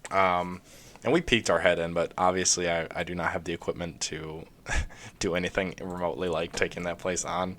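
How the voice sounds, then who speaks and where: A man's voice: sits low, fairly even intonation, normal speed, fairly bright tone. Young man with a scratchy throat. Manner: rambling, many fillers and restarts. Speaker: gaming video creator. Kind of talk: casual let's play commentary.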